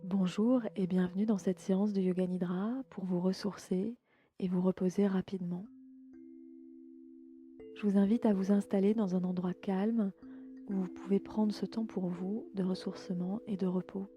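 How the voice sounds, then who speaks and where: A woman gently guides a relaxation session in a soothing, inviting way, letting her phrases end softly. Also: mid-range voice, fairly even intonation, normal speed. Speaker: host and yoga nidra guide. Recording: music bed behind the voice. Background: relaxation music.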